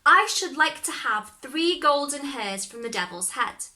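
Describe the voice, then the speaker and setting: Woman playing a young storybook lad, bold, cocksure, emphatic, high pitch, bright ringing tone. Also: lively intonation, measured pace, heavily acted. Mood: polite, eager. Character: bold, confident young male fairy-tale hero.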